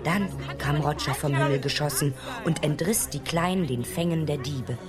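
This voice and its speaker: An actress playing a storyteller who narrates a whimsical fairy tale in a scripted radio play. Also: female voice, deep voice, moderate pace, lightly acted. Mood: storytelling. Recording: music under the voice.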